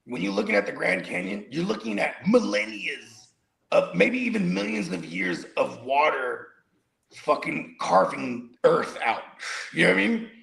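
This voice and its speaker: A man's voice: fairly high pitch, sing-song, unhurried, creaky, a bit bright, low-quality recording. A man in his forties co-hosting a podcast, rambling through casual, profane banter and laughing often. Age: 40s. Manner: rambling, laughs often, says "you know what I mean". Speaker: podcast co-host.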